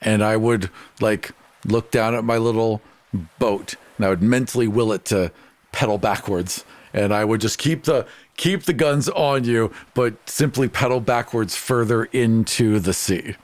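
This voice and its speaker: A man's voice: lowish voice, unhurried, no room sound. Man improvising game narration, dry sound. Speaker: tabletop game player. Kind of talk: casual, improvised narration of character actions.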